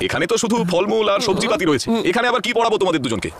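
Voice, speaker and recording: চড়া গলার অস্থির কার্টুন বাবা. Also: male voice, high voice, sing-song, rapid-fire, noisy background. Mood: eager, instructive. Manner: exclamatory, easily exasperated. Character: flustered, eager cartoon father teaching his young sons. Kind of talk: animated cartoon comedy dialogue.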